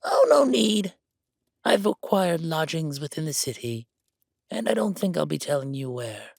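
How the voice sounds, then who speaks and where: A man's voice: on the high side, very animated, measured pace, gravelly, no echo, studio quality, voice pushed up, exaggerated voice. A man playing a smug, condescending noble envoy with a haughty, mock-polite and theatrical manner in an audio drama. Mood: slyly polite.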